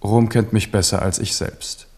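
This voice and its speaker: A man's voice: on the low side, level tone, normal speed, a little mellow, husky. An actor playing a proud, defiant king in a radio play, speaking with emphatic force. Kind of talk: 1970s radio play acting.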